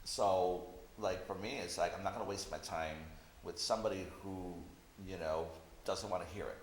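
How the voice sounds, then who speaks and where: A roomy recording with some hiss carries a man's fairly low voice. He talks casually and conversationally, like a guest sharing his view, often checking that the listener follows. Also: moderate pace. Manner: conversational, peppers speech with "you know". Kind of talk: casual chat. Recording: roomy echo with clear background hiss.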